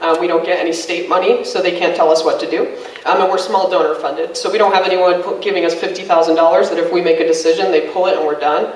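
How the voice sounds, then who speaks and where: A man activist giving a casual, upbeat talk to a student group, with a loud voice and many ums. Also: high-pitched, fairly even intonation, fast. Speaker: activist, field director. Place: college meeting room. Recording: echoey room from a distance.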